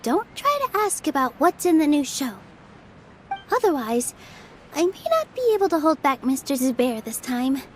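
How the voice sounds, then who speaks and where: A woman's voice: sits high, big pitch swings, moderate pace, slight background noise. A woman voicing a sweet, polite, earnest young dancer in a video game. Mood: friendly. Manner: polite, gentle, considerate. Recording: background music.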